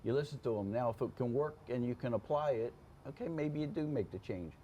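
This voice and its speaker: An older man with a middle-pitched voice talks as a veteran fire officer in a casual interview. He is plainspoken and often checks that he is being followed. Noticeable noise sits behind his voice. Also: fairly quick, warm tone, dull audio. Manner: plainspoken, checks in with "okay?" and "you know". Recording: clear background room noise.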